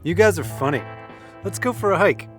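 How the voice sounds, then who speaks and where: Casting: male actor as brash young trail leader, fairly high voice, casual and take-charge, for an audio drama with music behind. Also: lively intonation, moderate pace, fairly bright tone. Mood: brash, teasing.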